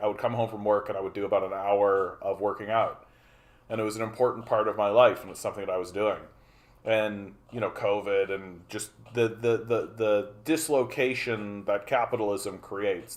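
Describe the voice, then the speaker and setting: 40yo canadian guy chatting on his stream, rambly, lots of uhs, says hi to chat a lot. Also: on the low side. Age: 40s. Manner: rambling, repeats phrases, many fillers; greets viewers by name. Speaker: livestream host. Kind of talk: casual livestream chat.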